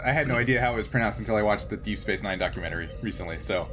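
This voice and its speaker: A man's voice: lowish voice, rapid-fire, music in the background. A man running a tabletop role-playing game in casual chat as game master.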